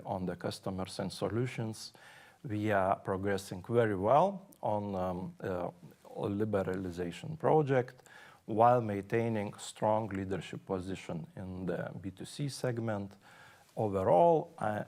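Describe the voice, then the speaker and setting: A man presenting as an executive on an earnings call, speaking haltingly with frequent pauses. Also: lowish voice, darkish tone. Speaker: company executive.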